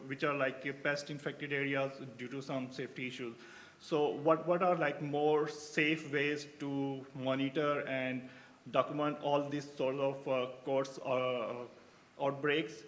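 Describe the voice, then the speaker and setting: A man's voice: on the high side, a bit bright. Hesitant man from Pakistan giving a conference talk. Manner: hesitant, many fillers and restarts. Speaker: researcher presenting at a symposium.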